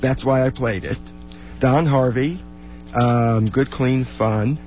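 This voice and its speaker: A man co-hosting a music radio show in casual, unscripted banter, halting and repeating his words as he goes. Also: mid-range voice, highs cut off. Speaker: radio co-host who plays music.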